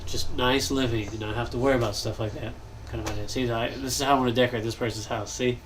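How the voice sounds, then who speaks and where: Stuffed-up young gamer host, loose thinking-aloud delivery, middle pitch, light background hiss. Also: male voice, fairly even intonation, quick pace, fairly bright tone, poor audio. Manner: rambling, thinking aloud, frequent coughs and throat clearing. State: congested, nasal. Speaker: gaming channel host. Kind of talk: casual commentary while building in a game.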